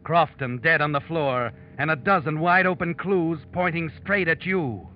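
An actor playing an ominous, knowing narrator of a 1940s radio suspense drama, speaking in a dramatic, deliberate, menacing way. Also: male voice, on the high side, close to an everyday voice. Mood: ominous, taut. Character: ominous, knowing male narrator taunting a guilty man. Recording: dull old radio recording, loud music.

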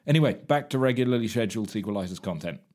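A man with a fairly low voice presents a podcast. He speaks informally and directly to his listeners. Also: animated, very fast, darkish tone. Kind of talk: casual talk to listeners.

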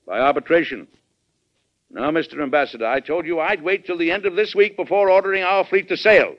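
An actor playing a firm, shrewd American president in an old radio drama, talking bluntly and assertively with an American accent. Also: male voice, on the high side, fairly quick, natural voice. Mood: firm, commanding. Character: firm, plain-spoken American male president, shrewd and commanding. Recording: dull, band-limited vintage radio recording.

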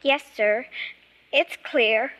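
A woman's voice: on the high side, drawn-out words, over loud music. An actress playing a desperate, pleading young girl in an old-time radio drama, insistent and breathless. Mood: subdued, obedient. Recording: noisy old radio recording.

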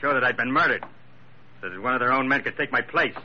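A man's voice: medium pitch, fast talker, lightly acted. An actor playing an insistent, menacing plantation manager in an old-time radio drama, pressing his case urgently. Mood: urgent pleading. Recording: muffled, noisy old radio recording.

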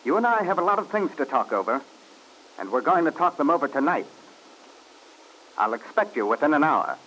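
An actor playing a cold, commanding husband in a 1940s radio drama, mocking and calmly domineering, drawling his endearments. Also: mid-range voice, normal speed, a little hiss or hum, rough recording.

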